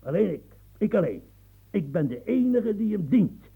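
A gravelly, blustering old French marshal. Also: male voice, high voice, sing-song, moderate pace, narrow, tinny audio, heavily acted. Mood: boastful. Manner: gruff, boastful, swings from muttering to outbursts. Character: blustering elderly French marshal, a marquis, proud and coarse. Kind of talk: radio drama acting.

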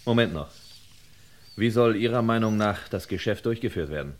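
Man acting radio crime drama lead, low male voice, wary, determined, measured, insistent questioner. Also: expressive, background noise, lightly acted. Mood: firm, bargaining. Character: wary, determined man bargaining and probing an old murder.

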